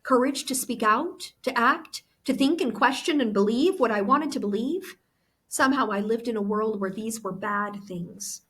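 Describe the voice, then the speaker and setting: A woman's voice: on the high side, fairly bright tone, husky. A woman teacher reading a novel aloud expressively to students. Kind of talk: expressive book read-aloud.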